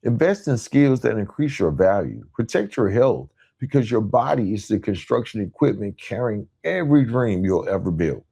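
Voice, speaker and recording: A man hosting a podcast, delivering a motivational monologue in a measured, emphatic way with rhetorical repetition. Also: fairly low pitch, big pitch swings, vocal fry, dark tone, breathy edge. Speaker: podcast host.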